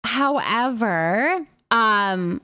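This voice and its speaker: A woman's voice: on the high side, lively intonation, very slow, pushed and bright, smooth. A woman hosting a casual livestream, chatting away as she reads and answers comments, often repeating her phrases in an affirming way. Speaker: livestream host.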